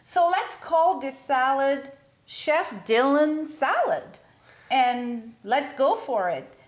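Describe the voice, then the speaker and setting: A woman's voice: high-pitched, sing-song, slow. A middle-aged woman from Belgium, hosting a casual cooking show in a home kitchen. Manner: friendly, chatty, slightly non-native phrasing. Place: large home kitchen, indoors.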